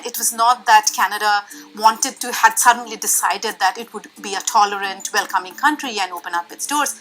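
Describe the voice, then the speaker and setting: A woman giving measured, explanatory commentary on history as an interviewee. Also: medium pitch, pushed and bright, faint music behind, poor audio.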